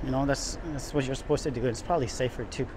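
A man's voice: medium pitch, fairly even intonation, quick pace. A man giving a casual, rambling walking tour as a vlogger, often repeating and rephrasing himself as he goes. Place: outdoors, walking on downtown sidewalks. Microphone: handheld camera while walking. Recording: clear outdoor city street noise, traffic.

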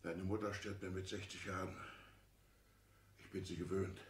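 A man's voice: sits low, flat delivery, moderate pace, close to an everyday voice. An actor playing an indignant older father, a retired minor civil servant, with theatrical, exclamatory delivery in a radio comedy. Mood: aggrieved. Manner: theatrical, exclamatory, swinging from hushed to outbursts. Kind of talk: 1960s German radio comedy acting. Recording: roomy 1960s radio play recording.

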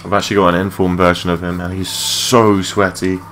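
A young man with a low voice giving rambling, matey, sweary gameplay commentary in a casual unscripted way. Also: level tone, a little fast, a bit bright. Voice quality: low-pitched voice. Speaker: gaming video creator. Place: his room at home. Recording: clearly noisy home mic recording.

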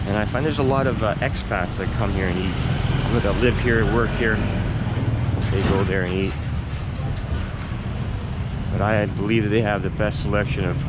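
A man giving casual vlog narration in halting, short, repetitive phrases as he shows a place around. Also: sits low. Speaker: vlogger presenting a restaurant. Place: outdoors on a city street, later in a cafe. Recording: loud street traffic and ambient noise.

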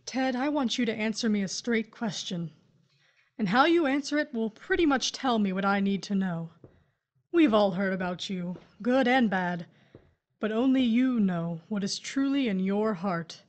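A woman playing a wronged widow and mother of grown children in an audio drama, earnest, measured and firm but torn. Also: mid-range voice, animated, close to an everyday voice. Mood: firm, probing.

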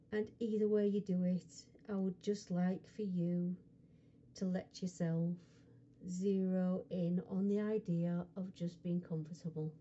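Very dark, mellow woman guiding hypnosis. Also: fairly low pitch, muffled recording. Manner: soothing, unhurried, with frequent pauses between short phrases. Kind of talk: guided hypnosis relaxation script.

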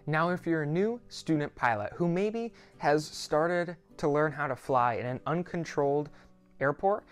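A sixteen-year-old young man talking to camera as a host, explaining things in a reassuring, conversational way. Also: fairly high pitch. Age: 16, teenager. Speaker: student pilot YouTuber, host. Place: small quiet home office, indoors.

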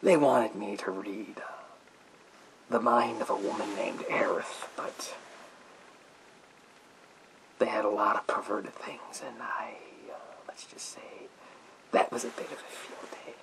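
A man playing a sinister psychic mind-reading soldier in a gas mask, in a theatrical, drawn-out, whispered ASMR roleplay. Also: lowish voice, steady tone, vocal fry, voice pushed down, low-quality recording. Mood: reminiscing. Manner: theatrical, slow, drawn-out, repetitive phrases.

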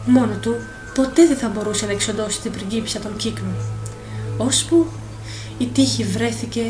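A woman narrator reading a dramatic, scripted fairy tale for an animated film. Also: a little high. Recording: voice over clear film music.

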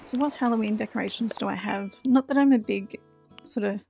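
A woman's voice: mid-range voice, brisk, creaky, mellow, soft background music. A woman in her forties chatting casually and self-deprecatingly while she plays a game, thinking aloud. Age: 40s. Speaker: let's play host. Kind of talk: casual gameplay commentary.

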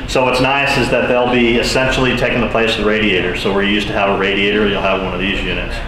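A middle-aged man with a lowish voice walks a group through a gutted building, explaining the work in a relaxed way with plenty of ums and uhs. He is heard from a distance in a bare, strongly echoing room with a long reverb tail. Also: fast talker, a crowd in the background. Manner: casual and explanatory, with frequent fillers. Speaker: architect leading a tour. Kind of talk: walking tour explanation. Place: large gutted building under renovation. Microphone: camera from a distance. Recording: echoey bare room from far off.

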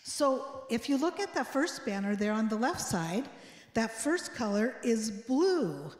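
An older woman leads a children's message in a large church sanctuary, with long reverb around her. Her voice sits fairly high and swings in a lively way as she asks simple questions and echoes the replies. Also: measured pace. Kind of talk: interactive children's sermon.

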